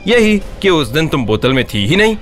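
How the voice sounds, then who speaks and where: A man voicing a clever, fearless, polite young villager in an animated Hindi story. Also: a little high, normal speed, faint music behind. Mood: sly, polite.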